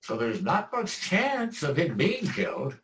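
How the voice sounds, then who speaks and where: An actor playing an aging veteran stage actor, wry, profane and theatrical. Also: male voice, fairly high pitch, huge pitch swings, a little slow, fairly bright tone, heavily acted. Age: older. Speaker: stage actor in a play reading. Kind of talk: stage play reading.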